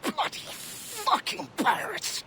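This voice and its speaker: A man's voice: slow, sharp tone, a little hiss or hum. An actor playing a desperate, haughty man bargaining with a pirate in a video game, insistent and contemptuous. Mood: angry. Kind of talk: cinematic game dialogue.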